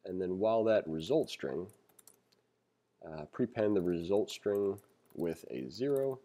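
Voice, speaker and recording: A man giving a casual read-along programming tutorial, reading aloud and thinking out loud with informal explanatory asides. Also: deep voice, animated, measured pace. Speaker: programming instructor. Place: screen-recorded voice-over.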